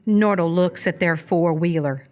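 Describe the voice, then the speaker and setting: A woman with a slightly low voice narrates a radio play. She reads scripted action as the story's narrator, in present-tense descriptive prose. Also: a little slow. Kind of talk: scripted narration of a screenplay for live radio theatre.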